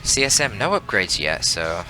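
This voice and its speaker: A man giving live, analytical and conversational commentary on a strategy game, as a shoutcaster does. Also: on the low side, big pitch swings, normal speed, low-quality recording. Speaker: esports shoutcaster. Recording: voice over loud game audio. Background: loud game music and battle sounds.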